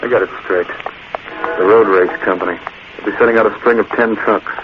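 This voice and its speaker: A man's voice: fairly low pitch, steady tone, fairly quick, narrow, tinny audio, close to an everyday voice. An actor playing a cocky, menacing male gangster who talks in clipped, hard-boiled slang, in 1940s radio acting. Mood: conspiratorial. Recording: thin hissy 1940s radio broadcast.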